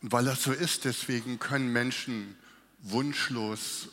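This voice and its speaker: A man preaching a sermon with a cold, speaking slowly and reflectively with pauses. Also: mid-range voice, fairly even intonation, fairly bright tone. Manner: slow, reflective, with pauses and restarts. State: has a cold, coughing. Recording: long hall reverb.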